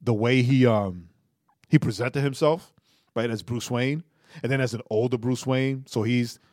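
A man's voice: mid-range voice, dry recording, clean studio sound. A man co-hosting a podcast, talking casually and emphatically, often asking "right?". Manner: emphatic, excitable, tag questions and quick laughs. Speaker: podcast co-host. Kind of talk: casual chat about a TV episode.

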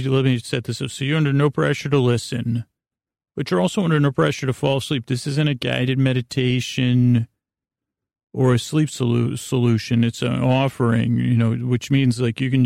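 A man hosting a sleep podcast, giving a gentle, soothing, meandering bedtime monologue in a halting way full of trailing asides. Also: medium pitch, little pitch movement, vocal fry, no echo, studio quality.

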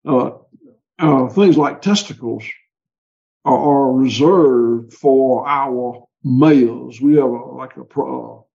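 Gravelly Southern farmer, folksy. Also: male voice, mid-range voice, slow, dark tone, lo-fi sound. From American South. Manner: rambling, frequent restarts, folksy. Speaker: livestock farmer. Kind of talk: conversational interview.

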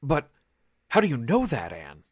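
A man's voice: mid-range voice, wildly swooping pitch, unhurried, dry recording, clean studio sound. A man playing an earnest, put-upon sidekick in a 1940s radio drama. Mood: hesitant, puzzled.

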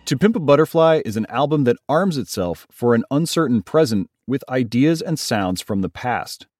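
A man hosting a podcast, narrating a scripted story in a measured, explanatory way. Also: medium pitch, expressive, darkish tone, no echo, clean studio sound, faint music behind. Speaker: podcast host.

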